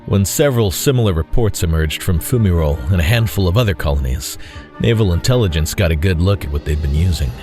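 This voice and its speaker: A man reading scripted dramatic fiction as narrator, in a measured, solemn way. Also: sits low, lively intonation, darkish tone. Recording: faint music bed behind voice.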